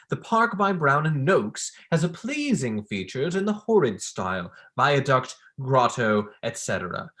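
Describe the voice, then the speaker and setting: A man's voice: on the high side, fairly bright tone. Young man acting a smug academic, swooping intonation. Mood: pompous reading aloud. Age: young adult.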